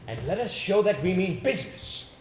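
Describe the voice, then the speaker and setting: A male stage actor playing a brisk, commanding theatrical coach, projecting emphatic instructions. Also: sits high, big pitch swings, a little mellow. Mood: brisk, insistent. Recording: echoey stage recording with background noise.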